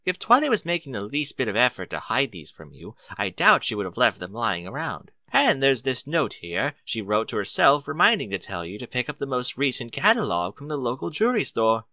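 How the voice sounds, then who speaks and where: A man acts out a genteel lady from a storybook, polite and a little fussy. Her lines swing expressively up and down with a bright tone, in a dry, close recording. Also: mid-range voice, huge pitch swings, fairly quick, hardly any pauses, no echo, heavily acted. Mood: excited, knowing.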